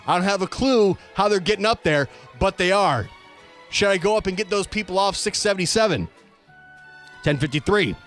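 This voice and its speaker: A man's voice: high voice, lively intonation, moderate pace, fairly bright tone. A man hosting a talk show, reading out quoted reports aloud in an emphatic, announcer-like way. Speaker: radio show host. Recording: music bed behind the voice. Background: music.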